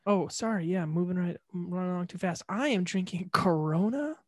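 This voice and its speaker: A man co-hosting a casual podcast, playful and teasing, quick to react and laugh. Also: high voice, no echo, clean studio sound. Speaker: podcast co-host. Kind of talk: casual chat.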